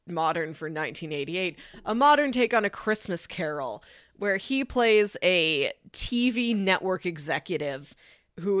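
A woman's voice: medium pitch, fairly bright tone, dry recording. Enthusiastic woman chatting, close dry sound. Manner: enthusiastic, emphatic, uses casual asides. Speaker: podcast co-host. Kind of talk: casual chatty movie pitch.

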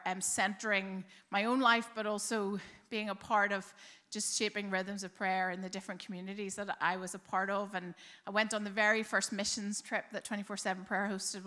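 Bright-voiced Northern Irish woman. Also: medium pitch. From Northern Ireland. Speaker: guest speaker. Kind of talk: church talk interview.

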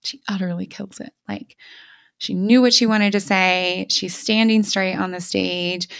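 Woman, podcast host, creaky voice, dry close sound, effusive and emphatic, chatty interview style. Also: medium pitch, steady tone, measured pace, a bit bright, dry recording. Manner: gushing, emphatic, informal. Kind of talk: enthusiastic conversational interview.

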